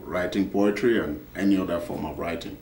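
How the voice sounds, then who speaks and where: Deep cameroonian-born guy, slow careful answers, lots of uhs, far off mic in a roomy space. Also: darkish tone. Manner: measured, pauses with fillers. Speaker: poet and author. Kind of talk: panel discussion answer. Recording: echoey room, far from the mic, faint noise.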